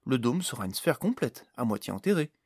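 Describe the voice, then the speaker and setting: A man voicing a female fairy companion in a fantasy audiobook, brisk, outspoken and practical. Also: mid-range voice, very animated, darkish tone, husky, dry recording, clean studio sound. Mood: reasoning.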